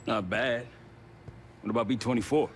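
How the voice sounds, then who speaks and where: An actor playing a curt, defensive covert team leader in a video game. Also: male voice, medium pitch, steady tone, moderate pace, a little hiss or hum, close to an everyday voice. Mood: businesslike. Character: terse, wounded male covert team leader. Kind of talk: video game cinematic acting.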